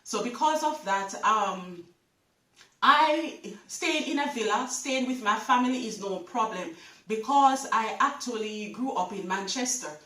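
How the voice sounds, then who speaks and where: A woman's voice: fairly high pitch, lively intonation, measured pace, a bit bright, roomy sound, recorded from a distance, rough recording. A middle-aged woman from Jamaica with a Jamaican accent, chatting to camera as a travel vlogger, repeating words and talking straight to her viewers. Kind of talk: casual talk to camera.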